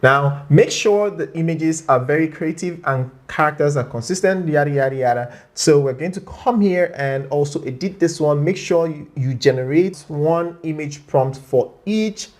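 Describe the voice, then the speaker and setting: A tutorial host talking viewers through steps on screen in a chatty, playful way, often checking in with a quick okay or right. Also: medium pitch. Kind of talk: screen-share tutorial narration.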